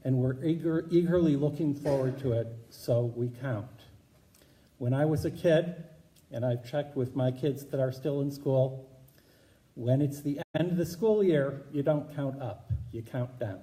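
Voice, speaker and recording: A man, a rabbi, giving a measured teaching talk to a congregation in a synagogue hall. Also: mid-range voice, warm tone. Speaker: rabbi introducing a speaker.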